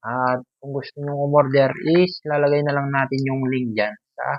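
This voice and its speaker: Gravelly Filipino man, casual unboxing vlogger. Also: medium pitch, fairly even intonation, normal speed, no room sound, lo-fi sound. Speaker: YouTube host, product affiliate. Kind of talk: casual unboxing vlog narration.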